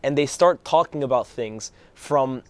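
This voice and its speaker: A hoarse man co-hosting a casual football chat, telling stories in a fast, animated way. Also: medium pitch, dry recording. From Algerian background. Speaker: co-host.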